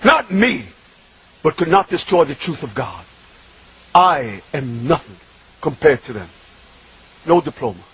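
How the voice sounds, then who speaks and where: A man, preaching an impassioned sermon that swings from loud shouting to hushed murmurs. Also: fairly high pitch, big pitch swings, a little slow, pauses often, slightly rough, low-quality recording. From Bronx, New York.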